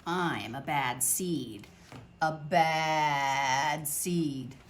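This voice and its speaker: A woman reading a picture book aloud to children at storytime, expressive, with dramatic pauses. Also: low voice, slight background noise.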